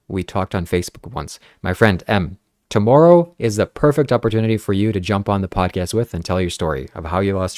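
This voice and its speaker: A man's voice: fairly low pitch, a little mellow, no echo, studio quality. A man whose first language is Ukrainian, hosting a casual podcast and earnestly reading viewer comments aloud. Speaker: podcast host. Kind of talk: casual livestream podcast chat.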